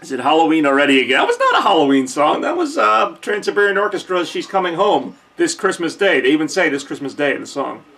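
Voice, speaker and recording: A young man casually hosting a live holiday stream from a decorated room at home, joking and thinking out loud with lots of fillers, recorded on a camcorder mic. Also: fairly high pitch, big pitch swings, fast, creaky, hardly any pauses. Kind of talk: casual live-stream chat reading viewer comments.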